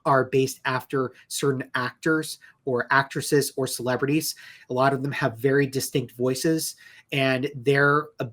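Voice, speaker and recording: A man speaking as a lawyer and interview guest, explaining things conversationally in a measured way with emphasis on cautions. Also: mid-range voice, a bit bright.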